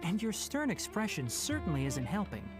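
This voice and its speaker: An actor playing a calm young forest ranger in a game, level and gently teasing. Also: male voice, high voice, moderate pace. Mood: gentle. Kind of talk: game dialogue. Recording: game audio with loud music behind.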